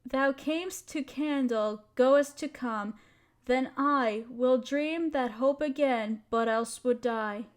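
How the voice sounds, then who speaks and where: Romantic audio roleplay: affectionate teasing wife played by a woman, high voice, slow tender delivery for poetry and sweet talk. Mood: tender recital. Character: affectionate, teasing wife sharing love poems with her partner.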